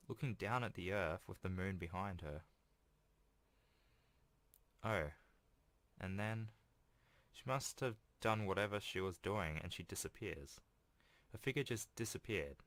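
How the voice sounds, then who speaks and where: A man's voice: low-pitched, unhurried, long pauses, fairly bright tone, dry recording. A man hosting a video game playthrough, reading a visual novel aloud with casual, self-deprecating asides. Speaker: let's play host.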